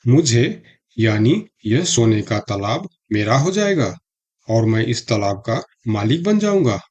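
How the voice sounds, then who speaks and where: A man's voice: medium pitch, big pitch swings, unhurried, darkish tone, breathy edge. A man voicing a gullible, innocent donkey in a children's cartoon story, naive and earnest. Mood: amazed, eager. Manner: naive, wide-eyed, earnest. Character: gullible, innocent male donkey, eager and easily fooled.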